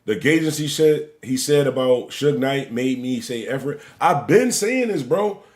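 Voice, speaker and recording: Man in his forties, lively swinging pitch, loose rambling stream chat, repeats himself. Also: measured pace. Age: 40s. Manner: "bro" and "gang" at line ends. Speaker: stream host. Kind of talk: casual live-stream commentary.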